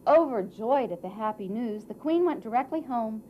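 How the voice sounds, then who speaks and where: A woman narrating a children's storybook tale for a puppet show with measured, dramatic phrasing. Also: on the high side, expressive, dull audio, slight background noise.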